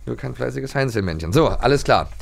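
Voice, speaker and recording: A man's voice: medium pitch, animated, fairly quick, vocal fry. A man from former East Germany co-hosting a podcast, chatting enthusiastically and a little rambling about childhood radio plays. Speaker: podcast co-host.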